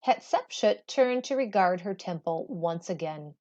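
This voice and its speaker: Slow-paced woman reading a story aloud. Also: mid-range voice, animated, a little mellow, dull audio. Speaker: teacher reading a book aloud. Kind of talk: expressive storybook read-aloud narration.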